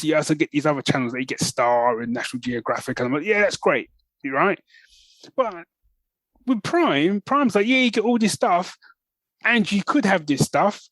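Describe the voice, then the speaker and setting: A man hosting a podcast, chatting and ranting casually in an animated, storytelling way. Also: fairly high pitch, no echo. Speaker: podcast host.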